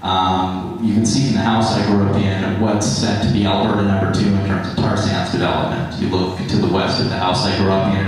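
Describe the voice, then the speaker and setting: An American man from Utah giving unscripted testimony at a public hearing, halting and earnest as he rambles through his points. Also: low voice. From eastern Utah, United States. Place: large indoor hearing hall. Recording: very echoey hall, public address sound.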